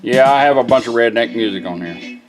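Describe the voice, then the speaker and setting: A man's voice: mid-range voice, a little fast. A man giving a casual, hands-on product demonstration in plain, folksy, informal words. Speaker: product demonstrator, radio restorer. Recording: music playing from a radio behind.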